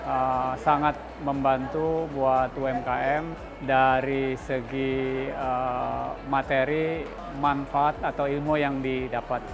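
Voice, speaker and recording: Slow, even-toned man over music. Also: medium pitch. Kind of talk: interview testimonial.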